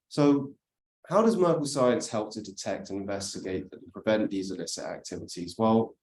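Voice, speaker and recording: British man, conference speaker, explanatory delivery, slightly low voice, roomy sound. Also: a little mellow. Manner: explanatory, frequent "let's say" and "for instance". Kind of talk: presentation talk.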